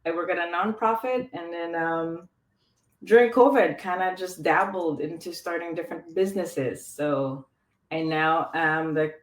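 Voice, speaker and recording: A woman's voice: fairly low pitch, a little slow. A woman from the Philippines, a podcast guest, chatting casually and filling her talk with "like" and trailing restarts. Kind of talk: casual interview chat. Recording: roomy echo.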